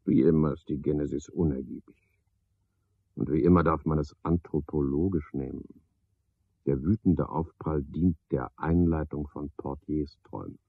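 An actor playing a pedantic, misanthropic night porter in a radio drama, a man with a husky, gravelly voice and a pompous, sardonic manner. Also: very deep voice, steady tone, normal speed, dry recording, exaggerated voice. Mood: brooding, dreamy. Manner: pompous, sardonic, ornate wording. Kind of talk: 1970s German radio drama monologue. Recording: dull, dry 1970s radio recording.

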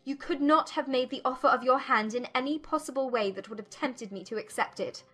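A woman acts a spirited young gentlewoman from a period romance audiobook. Her high voice delivers formal, accusing lines with firm emphasis and indignation. Music plays softly behind her. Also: a little fast. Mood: controlled anger. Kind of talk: dramatised audiobook acting.

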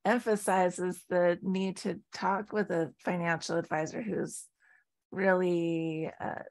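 A woman's voice: lowish voice, gravelly. A woman hosting a webinar on a video call, reading out questions and moderating. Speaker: webinar host.

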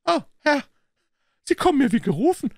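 A man voicing a timid, shifty little man in a story reading, with an unsteady, whimpering, nervous high voice. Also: lively intonation, moderate pace, vocal fry, a bit bright, dry recording, studio quality, full character voice. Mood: eager.

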